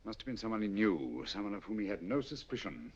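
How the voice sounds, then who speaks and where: A commanding, middle-aged master detective who speaks fast and with crisp diction. His pitch rises and falls with lively energy. The sound is dull and lo-fi, like an old 1940s film.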